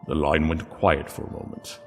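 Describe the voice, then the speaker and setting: A man narrating a sombre story in a solemn, hushed and deliberate way. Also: a bass voice, monotone, long reverb tail, soft background music.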